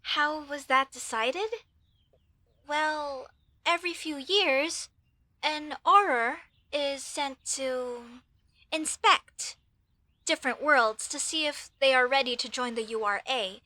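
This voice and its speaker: A woman's voice: high voice, slow pace, sharp tone, no echo, exaggerated voice. A woman voicing an earnest, apologetic young elf mage student in a comedy audio roleplay, talking in a hesitant, chatty way. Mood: concerned, reassuring, then explaining, sheepish. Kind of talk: comedy audio roleplay, speaking softly to the listener.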